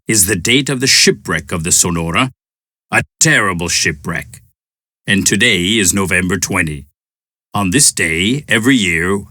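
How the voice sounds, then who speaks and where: A man's voice: on the low side, bright, forceful tone, no room sound, clean studio sound, voice pushed down. A man playing an evil ghost pirate captain in a storybook, speaking in a menacing, declamatory way.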